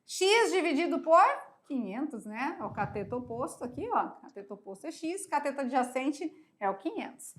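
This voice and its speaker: A woman's voice: high-pitched, very animated, normal speed. A woman teaching a televised math lesson, upbeat and checking in with her students through frequent tag questions.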